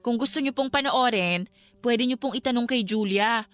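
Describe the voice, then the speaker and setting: A woman's voice: a little high, normal speed, fairly bright tone, soft background music, close to an everyday voice. A woman playing a polite, businesslike young vlogger who explains things courteously in a Filipino radio drama. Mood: courteous, persuading.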